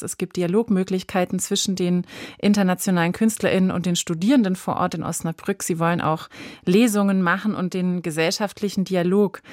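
A woman's voice: on the low side, darkish tone, no echo. A woman hosting a prepared cultural interview in a radio studio.

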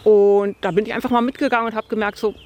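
A woman in her fifties talks in a relaxed, thoughtful way about hunting, full of little fillers. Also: mid-range voice, fast talker, muffled recording. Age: 50s. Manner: thoughtful, lots of fillers like tatsächlich and also. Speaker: hunter interviewed about her hobby. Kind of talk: relaxed conversational storytelling. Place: outdoors by a field.

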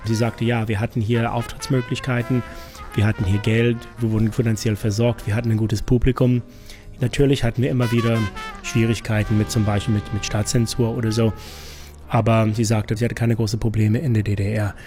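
A man's voice: fairly low pitch, little pitch movement, fairly quick, music in the background. A man from New York with an American accent, explaining informally in an interview as a non-native speaker with slightly unidiomatic phrasing. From New York City, USA. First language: English. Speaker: concert series organiser and interpreter.

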